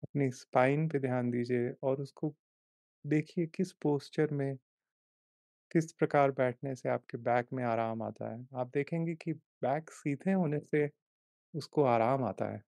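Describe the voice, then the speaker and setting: A man leading a guided meditation, giving gentle, unhurried instructions in short repeated phrases with long pauses. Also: medium pitch, gravelly, no room sound. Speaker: meditation guide, life coach.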